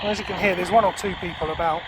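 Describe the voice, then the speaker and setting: A man's voice: high-pitched, fairly even intonation, rapid-fire. A man giving casual hands-on vlog commentary outdoors, as an amateur radio presenter. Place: outdoors on a windy high spot. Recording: windy outdoor recording, loud wind noise.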